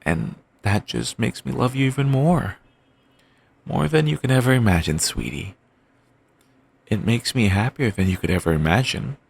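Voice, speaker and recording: A man playing an obsessive, doting yandere boyfriend in an audio roleplay, talking softly and rambling on with endearments. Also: fairly low pitch, expressive, gravelly, slightly rough, heavily acted. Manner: soft, murmuring, slow and rambling endearments.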